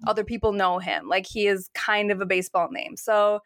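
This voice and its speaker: A woman's voice: medium pitch, fairly even intonation, fairly quick, fairly bright tone, no echo, clean studio sound. A woman co-hosting a baseball fan podcast, chatting casually in a frank, matter-of-fact way. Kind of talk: casual chat.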